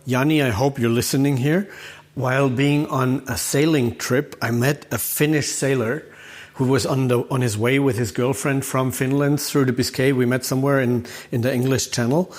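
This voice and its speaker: A man hosting a podcast, asking a guest curious, measured questions. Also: medium pitch, steady tone. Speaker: podcast host. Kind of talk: interview questions.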